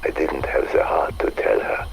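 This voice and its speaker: An actor voicing an obsessive, haunted occult scholar reminiscing in a game audio log, with halting, breathy, florid storytelling. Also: male voice, slight background noise, lightly acted, rough recording. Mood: reflective.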